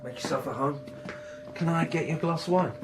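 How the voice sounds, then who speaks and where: A man's voice: a little high, a little fast, music in the background, close to an everyday voice, rough recording. A man playing an anxious, needy character with a guilty secret in a naturalistic radio drama, hesitant and pleading. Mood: casual, uneasy. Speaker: actor in a radio crime drama. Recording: faint background noise and ambience.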